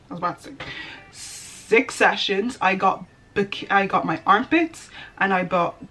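A woman's voice: medium pitch, fairly bright tone. A young woman chatting to camera in a small bedroom, rambling and often correcting herself. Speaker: beauty vlogger. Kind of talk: casual chat to camera. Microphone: camera in front at desk distance.

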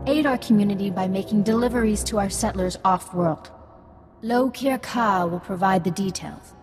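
A woman's voice: mid-range voice, moderate pace, breathy edge, faint music behind, natural voice. An actress playing a stern female alien tribunal judge in a video game, speaking in a clipped, formal way.

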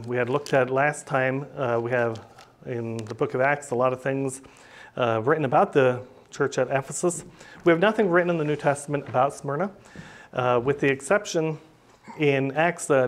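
A middle-aged man, preaching a teaching sermon at a podium in a small church and speaking plainly with many "uh" and "um" fillers. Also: medium pitch. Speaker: pastor. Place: small church room, indoors. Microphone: podium microphone.

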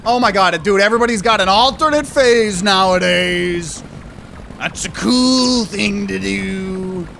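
A man in his twenties streaming a game, chatting with viewers, excitable and swearing freely. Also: high-pitched, a little slow, vocal fry, a little hiss or hum. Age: 20s. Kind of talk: live gameplay commentary.

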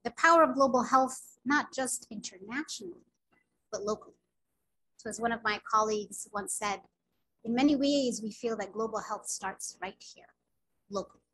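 A woman's voice: a little high, moderate pace, bright, forceful tone, a little hiss or hum, rough recording. A woman from Sudan, Arabic her first language, giving an earnest academic lecture over a video call. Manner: earnest, personal storytelling with frequent filler words. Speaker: physician giving a grand rounds lecture.

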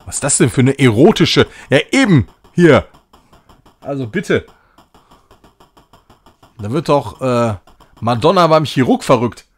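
Animated man chatting on stream. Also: medium pitch, unhurried, pauses often, husky. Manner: jokey, chatty, self-ironic, slipping into mock-grand English. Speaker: game streamer. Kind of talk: casual live-stream gameplay commentary.

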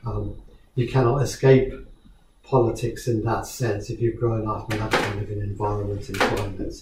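A man's voice: fairly low pitch, flat delivery, moderate pace, very noisy. A man from South Africa, an artist, talking thoughtfully and hesitantly about his work to a gallery audience, with many restarts. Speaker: artist in conversation. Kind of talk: gallery conversation. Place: small gallery room with seated audience. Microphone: far camera. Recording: echoey room from far off.

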